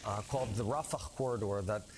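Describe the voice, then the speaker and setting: A man answering questions in a televised interview, measured and hesitant, with many fillers. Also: on the low side, background noise.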